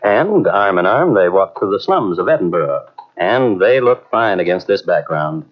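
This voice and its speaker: A man's voice: deep voice, big pitch swings, normal speed. A man giving ornate, sardonic scripted narration for an old radio drama. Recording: muffled old radio recording.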